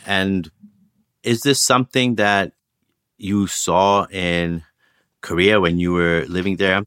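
Deep-voiced male interviewer, dry sound. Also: fairly even intonation, takes it slowly, fairly bright tone, smooth, dry recording. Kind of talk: measured interview questions.

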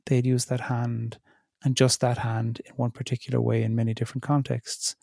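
A middle-aged man, talking in a thoughtful, searching way that often stops and restarts mid-thought. His voice has a gravelly edge, and the recording is close and dry, with no room sound. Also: mid-range voice, monotone, fairly quick, a little mellow, no room sound. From Ireland. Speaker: theologian in residence, interview guest. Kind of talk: reflective interview conversation.

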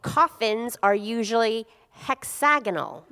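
A woman's voice: fairly high pitch, takes it slowly, pushed and bright. A woman co-hosting a quiz show, bantering and making mock-formal announcements in an echoey hall. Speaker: quiz show co-host and judge.

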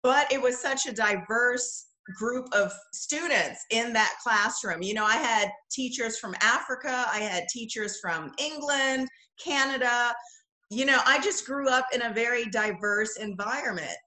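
Bright-voiced woman in an echoey room. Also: fairly high pitch, unhurried. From Bahamas. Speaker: podcast guest. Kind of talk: conversational personal storytelling. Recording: roomy, echoing sound.

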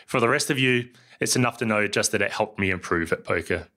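A middle-aged man from New Zealand with a New Zealand accent, hosting a podcast and telling his story in a measured, scripted way. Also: lowish voice, sharp tone. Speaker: podcast host. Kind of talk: scripted solo podcast narration.

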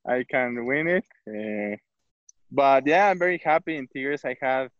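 A footballer from Mexico, speaking English with a Mexican Spanish accent, hesitant and searching for words. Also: male voice, mid-range voice, animated, unhurried, a bit bright, dry recording, lo-fi sound. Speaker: football player. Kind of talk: interview.

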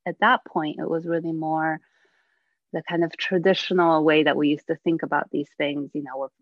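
A woman from Poland speaks as a podcast guest, a little low-pitched and reflective. She pauses often and laughs softly as she thinks. Her audio sounds dry and a bit muffled. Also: little pitch movement, no echo. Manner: reflective, many hesitations and soft laughs. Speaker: guest, dancer and researcher. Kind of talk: casual podcast interview.

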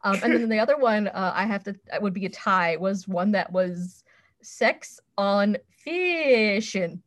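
Candid woman chatting on a podcast, mid-pitched. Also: lively intonation, measured pace. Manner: candid, self-deprecating, playful. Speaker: podcast panelist. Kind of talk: casual podcast chat.

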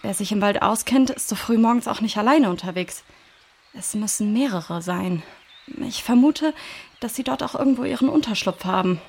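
A woman's voice: mid-range voice, normal speed, creaky, darkish tone, husky. A woman playing a protective, knowledgeable female forest guide in a children's radio play, explaining things in a reassuring way. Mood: explanatory.

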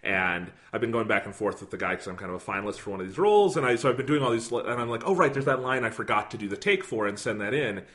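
Slightly hoarse man chatting on a podcast. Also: lowish voice, lively intonation, fast talker, hardly any pauses, fairly bright tone. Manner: rambling, self-deprecating, many fillers. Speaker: podcast co-host. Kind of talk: casual podcast chat.

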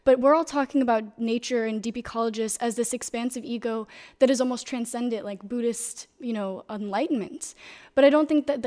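Animated young woman. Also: a little high. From United States. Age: young adult. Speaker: panel guest, philosophy student.

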